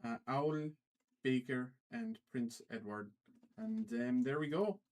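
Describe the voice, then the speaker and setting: Halting man streamer with put-on Irish accent. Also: medium pitch, darkish tone. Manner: halting, repeats phrases. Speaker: trading card break livestream host. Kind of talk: casual livestream commentary, calling out cards.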